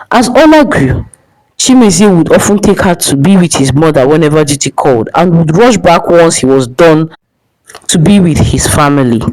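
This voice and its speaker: Woman storyteller with lively, swinging pitch. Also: low-quality recording. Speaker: storyteller, narrator. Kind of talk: dramatic folktale narration.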